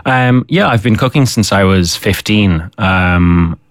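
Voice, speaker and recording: A young man speaks as a chef guest in a radio studio. His voice is very low, and he halts often with ums and uhs. The sound is dry and close to the mic. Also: takes it slowly, no room sound. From Ireland, Dublin. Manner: hesitant, with frequent fillers. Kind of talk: interview answers.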